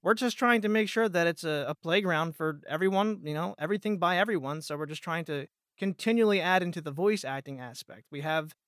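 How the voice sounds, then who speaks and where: A man's voice: on the high side, brisk, hardly any pauses, a bit bright, smooth, dry recording, studio quality. A man talking casually as a guest in an interview, explaining things in a rambling way. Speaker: voice actor guest.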